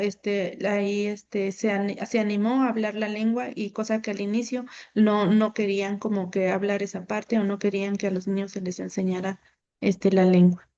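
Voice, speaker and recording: A woman's voice: medium pitch, mellow. Woman with a dull, muffled mic. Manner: halting, with many fillers and restarts. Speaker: workshop presenter. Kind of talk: recounting a workshop experience.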